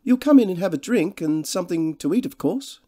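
Guy doing a posh smoothie in an audiobook, breezy n glib, voice pitched up high. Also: expressive, brisk, mellow. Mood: smoothly hospitable. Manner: jaunty, flippant, glib. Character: breezy, smooth-talking upper-class schoolfellow with glib charm. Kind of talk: audiobook character dialogue.

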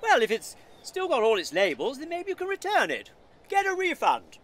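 A woman's voice: high-pitched, wildly swooping pitch, moderate pace. A woman with a British accent playing an earnest, polite, nosy male neighbour in an audio comedy play. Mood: puzzled.

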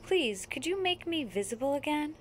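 A woman voicing a sweet, polite young female possum in a children's storybook, speaking gently. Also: high voice, big pitch swings, moderate pace, a little hiss or hum. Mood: pleading.

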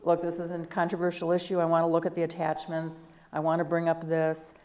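A woman, a city clerk, explaining a new process at a council meeting in a practical, matter-of-fact way. Her voice is deeper than usual today. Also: monotone, fairly quick, a little mellow.

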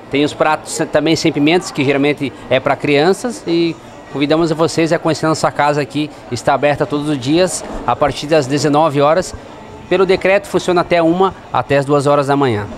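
A man's voice: fairly high pitch, slight background noise. A man in his thirties, a restaurant manager, explaining things plainly in an interview in a restaurant dining room into a handheld mic. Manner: plain, explanatory, frequent "né" tags. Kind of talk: interview answers. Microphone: handheld microphone held by host.

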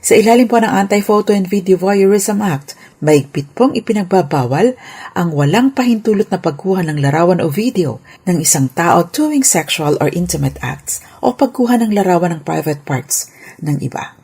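A woman hosts a legal segment with a mellow, relaxed tone and a husky edge to her slightly low voice. She reads prepared explanations formally and politely, instructing listeners and slipping English terms into her speech. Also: normal speed. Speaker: lawyer and radio legal segment host.

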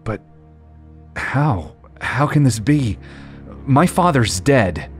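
A man playing a stunned young hero leader who speaks haltingly in an audio drama. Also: medium pitch, expressive, soft background music. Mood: shaken. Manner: halting, disbelieving speech.

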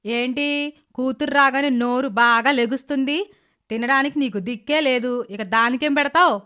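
A woman's voice: high-pitched, moderate pace, pushed and bright, clean tone. A woman voicing a bitter, hot-tempered stepmother who scolds and complains in a dramatized moral story. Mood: angry, scolding. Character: bitter, hot-tempered stepmother, grudging housewife and doting mother.

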